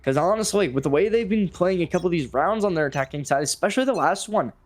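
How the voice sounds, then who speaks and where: A man with a slightly high voice delivers live esports play-by-play. Gunshots, clicks and game noise are clearly audible behind him as he follows the match. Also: lively intonation, quick pace. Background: video game gunshots and clicks.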